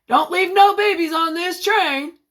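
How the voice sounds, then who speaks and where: A woman voicing a jovial male train conductor in a storybook, calling out stops in loud, drawn-out words. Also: very high-pitched, voice pushed up, heavily acted.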